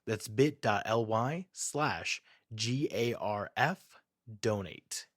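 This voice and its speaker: A man's voice: on the low side, expressive, slow pace. A man reading a scripted fundraising advertisement as an announcer. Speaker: promo announcer.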